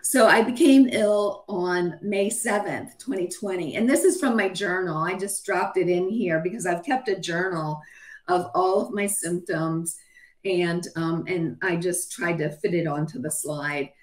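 A middle-aged woman with a hoarse voice giving a matter-of-fact slide talk about her own illness over a video call, with frequent ums. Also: fairly low pitch, a little slow. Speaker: physician sharing her own illness story. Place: home room, video call. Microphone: webcam on a video call.

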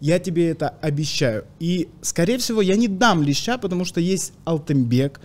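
A young man, a comedian guest from Kazakhstan, talking in a podcast studio on a studio mic, animated, with loud bursts and quiet asides. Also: a little high, moderate pace. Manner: animated storytelling with hand gestures, swings between loud bursts and quiet asides. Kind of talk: casual podcast chat. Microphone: studio mic with headphones.

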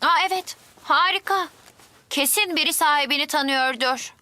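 A woman voicing a cheerful, excitable little boy in a children's cartoon, with a childlike delivery. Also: sits high, moderate pace. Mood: eager. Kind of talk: children's cartoon dub acting. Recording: music behind the voice.

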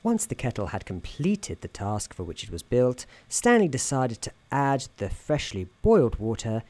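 A soft-spoken man narrating a scripted ASMR story in a wry, mock-grand manner. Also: mid-range voice, big pitch swings, moderate pace.

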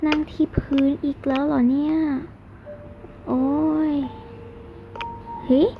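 Young female acting vain schoolgirl, high voice, exclamatory, whiny drawn-out interjections, game drama, music behind. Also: little pitch movement, creaky, muffled recording, exaggerated voice. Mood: indignant, nosy. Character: vain, sassy, grumbling schoolgirl.

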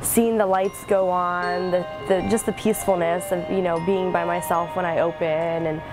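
A woman's voice: fairly low pitch, moderate pace, rough recording. Chatty young woman lifeguard outdoors. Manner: relaxed, chatty with many fillers. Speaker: lead lifeguard. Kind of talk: casual documentary interview. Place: outdoor pool deck. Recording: music bed underneath.

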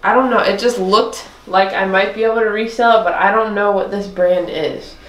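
A woman's voice: mid-range voice, steady tone, normal speed. A woman chatting casually, unsure of herself, going over items she found. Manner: hesitant, with many ums and "I don't know". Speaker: co-host reselling storage unit finds. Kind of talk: casual chat. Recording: echoey room with faint noise.

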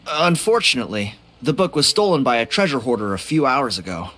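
Bright, emphatic young tribesman. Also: mid-range voice, very animated, brisk, a little hiss or hum. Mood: concerned. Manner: emphatic, explanatory. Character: earnest, supportive young tribesman. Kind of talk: video game voice acting.

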